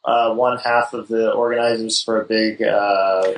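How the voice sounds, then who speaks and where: A man, a wedding photographer guest on a podcast, explaining things in an easygoing, halting way. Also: fairly low pitch, flat delivery, a little hiss or hum, rough recording. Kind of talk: casual interview chat.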